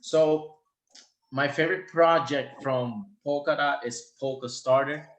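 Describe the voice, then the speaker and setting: Male interview host, relaxed, slow delivery, echoey room sound. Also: mid-range voice. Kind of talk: casual interview. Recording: roomy echo.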